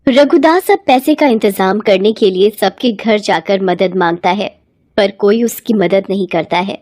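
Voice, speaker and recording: A woman's voice: mid-range voice, unhurried, a little mellow, dry recording, studio quality. A woman narrator reading a scripted moral bedtime story.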